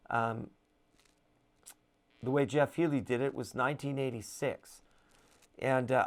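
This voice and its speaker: Gravelly-voiced man teaching guitar. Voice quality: low voice. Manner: relaxed and chatty, with many fillers. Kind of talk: casual guitar lesson.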